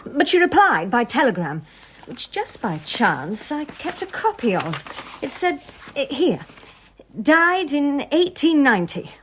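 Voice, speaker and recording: A woman's voice: high voice, sing-song, a little slow, a bit bright, slight background noise, heavily acted. A woman playing a nosy, gossipy French village postmistress in a radio drama, eager, chatty and confiding.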